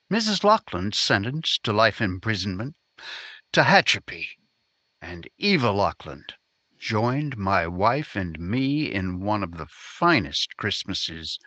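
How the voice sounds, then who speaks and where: A lowish, bright-edged male newspaper reporter voiced by an actor in vintage radio drama. He speaks slowly in clipped, solemn statements, sincere and dry-sounding. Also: no room sound, heavily acted. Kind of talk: 1950s radio drama acting.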